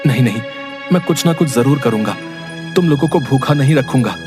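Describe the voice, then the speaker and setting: A man's voice: medium pitch, lively intonation, brisk, close to an everyday voice. Cartoon father, male actor: poor, hungry, humble, desperate, mid-range voice over music. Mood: resolute. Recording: music behind the voice.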